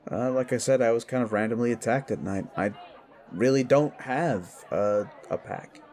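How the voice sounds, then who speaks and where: A man's voice: medium pitch, normal speed, darkish tone, some chatter behind, natural voice. A man voicing a newly turned werewolf bully in an audio drama, brash and crude but unsure of himself, talking in casual slang. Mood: uneasy, sheepish. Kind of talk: audio roleplay dialogue.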